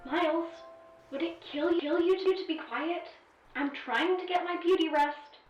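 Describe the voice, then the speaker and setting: A woman's voice: very high voice, measured pace, soft background music. A woman voicing a snippy, teasing artificial intelligence companion in an audio drama, with sighs and dramatic emphasis. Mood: annoyed, teasing. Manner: sassy, sighing, dramatic emphasis. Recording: echoey, distant, dull-sounding voice.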